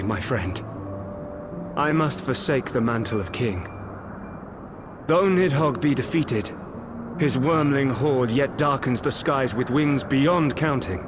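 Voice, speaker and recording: A man's voice: mid-range voice, natural voice. A man playing a solemn, penitent noble knight prince in a fantasy video game, speaking in grave, formal, archaic oratory. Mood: resolute.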